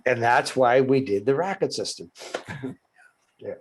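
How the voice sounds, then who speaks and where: Older man, workshop trainer, calm and explanatory, mid-pitched, on a video call. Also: slight background noise. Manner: measured and explanatory, with soft chuckles. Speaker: workshop presenter and psychotherapy trainer. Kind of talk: teaching answers in a workshop Q&A.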